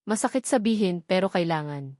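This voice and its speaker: A woman's voice: lowish voice, animated, a little fast, a little mellow, dry recording, clean studio sound, natural voice. A woman voicing a wary, firm young pregnant woman in an audiobook romance drama, with earnest resolve. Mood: pained, firm.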